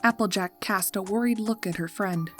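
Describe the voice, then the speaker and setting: A woman narrating a scripted horror story in a measured, atmospheric storytelling manner. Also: medium pitch, soft background music.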